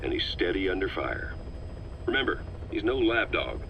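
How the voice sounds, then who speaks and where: An actor playing a seasoned, shrewd soldier mentor in a video game, speaking in a measured, assured drawl. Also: male voice, low voice, big pitch swings, highs cut off, slight background noise. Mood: calm, proud. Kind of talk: video game voice acting, scripted dialogue.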